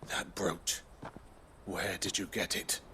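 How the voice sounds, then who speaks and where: An actor playing a fierce, confrontational protector in a video game, speaking forcefully. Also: male voice, sits low, level tone, slow pace, slight background noise, full character voice. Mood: hostile, accusing. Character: fierce, forceful male defender of street children.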